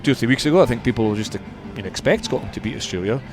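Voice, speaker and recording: A man giving conversational sports punditry as a radio guest, measured and repeating words for emphasis. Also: medium pitch, animated, music in the background.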